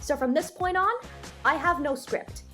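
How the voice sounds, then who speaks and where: A woman with a high-pitched voice delivers a blunt, opinionated review as a video host. She sounds emphatic and disgruntled. Light music plays underneath her. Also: moderate pace, bright, forceful tone. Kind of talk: opinionated video review, part scripted narration and part off-the-cuff commentary.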